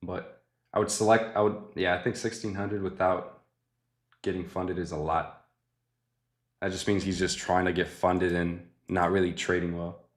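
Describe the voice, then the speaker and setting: A young man hosting a live trading stream, chatting casually with viewers and thinking out loud, often dropping to a quiet mutter. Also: deep voice, level tone, normal speed, pauses often. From Mexican family. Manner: laid-back, thinks aloud, often mutters quietly to himself. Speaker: livestream host trading futures.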